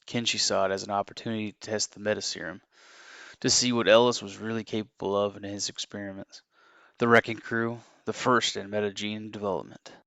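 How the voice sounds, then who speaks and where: A man's voice: lowish voice, flat delivery, fairly quick, creaky, fairly bright tone, no room sound. A man playing an earnest, haunted former assassin confessing his story in an audio drama. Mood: earnest, intense.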